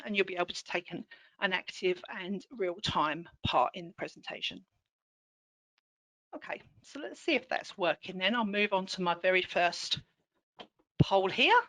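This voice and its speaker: A woman trainer with a hoarse, croaky throat, leading an online teaching session in an upbeat, encouraging way. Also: medium pitch, sharp tone, rough recording. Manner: upbeat, encouraging, clear explaining.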